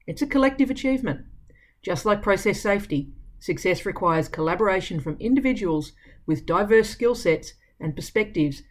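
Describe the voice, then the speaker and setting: A woman's voice: mid-range voice, a little mellow. A woman columnist narrating her own written piece in a scripted reading style.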